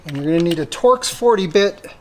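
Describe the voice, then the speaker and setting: Man, high-pitched voice, methodical, explanatory, step-by-step how-to demonstration. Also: expressive, a little fast, noisy background. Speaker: product maker presenting installation tutorial.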